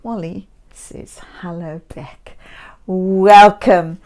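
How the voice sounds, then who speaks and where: Woman, children's storyteller, unhurried pace, soft mellow tone, expressive, drawn-out emphasis. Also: on the low side. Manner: gentle, theatrical, talking directly to young listeners. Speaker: children's story reader and host.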